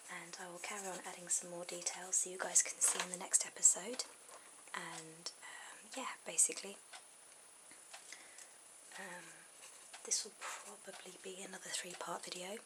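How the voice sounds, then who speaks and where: A woman gives a drawing tutorial in a soft, breathy ASMR whisper. Her voice sits on the low side with a creaky edge, and she pauses informally mid-thought. The amateur camera audio is very noisy. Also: fairly even intonation.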